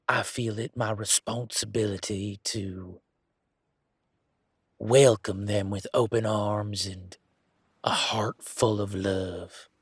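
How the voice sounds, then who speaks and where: A man playing an easygoing, teasing country-boy werewolf bodyguard in a roleplay audio drama, talking in a folksy, informal way with drawn-out hesitations. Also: on the low side, fairly bright tone, slightly rough, no room sound, clean studio sound, full character voice. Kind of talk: roleplay audio drama, one-sided conversation.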